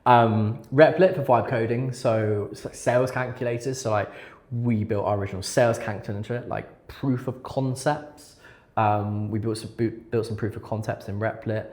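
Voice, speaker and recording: A man's voice: on the low side, fairly even intonation, normal speed, darkish tone. A man talking casually as a podcast guest, informal and hesitant, repeating words for emphasis. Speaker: podcast guest, recruitment technology consultant. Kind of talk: casual interview chat. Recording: echoey room sound.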